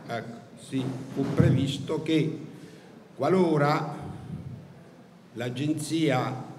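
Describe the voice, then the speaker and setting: Man in an echoing hall, measured. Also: mid-range voice, a little mellow, a little hiss or hum. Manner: measured, hesitant with frequent fillers. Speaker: tax agency official speaking at a conference. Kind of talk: formal conference talk. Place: large ornate hall with wooden paneling. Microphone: gooseneck desk microphone. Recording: roomy hall echo, faint ambience.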